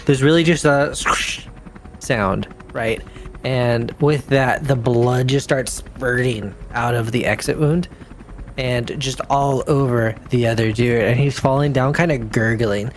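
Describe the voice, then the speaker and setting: A man's voice: medium pitch, measured pace, a little hiss or hum. Casual man playing a tabletop game. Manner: colloquial, profane, thinking aloud. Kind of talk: casual improvised game talk.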